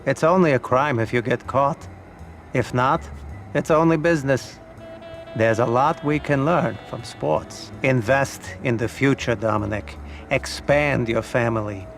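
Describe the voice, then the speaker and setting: An actor playing an elderly, shrewd and calm mob financier in a video game cutscene, speaking in a measured, fatherly, instructive way. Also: medium pitch, vocal fry, soft background music. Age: older.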